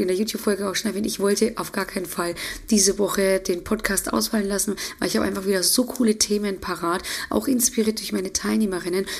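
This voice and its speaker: A woman with a German accent hosting her podcast as an intuitive eating coach, slightly hoarse from a cold, chatty and often restarting her sentences. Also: medium pitch. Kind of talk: casual solo podcast talk.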